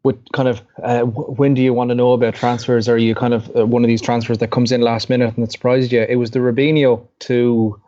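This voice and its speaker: A man's voice: mid-range voice, level tone, a little fast, few pauses, warm tone. A man chatting casually on a podcast panel, rambling with many restarts. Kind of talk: casual chat. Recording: dull lo-fi podcast audio.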